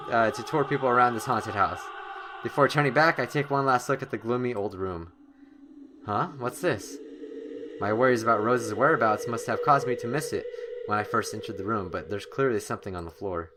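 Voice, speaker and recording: A man with a mid-range voice hosts a let's-play. He reads the game's text aloud with drama and drops in expressive asides of his own. Clear background noise and ambience sit behind him. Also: mid-range voice, a little fast. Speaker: gamer, let's-play host.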